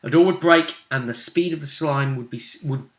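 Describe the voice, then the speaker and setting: Guy running a tabletop game recapping it, kinda high sharp voice, animated, echoey room. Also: normal speed. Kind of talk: casual chat recounting a game.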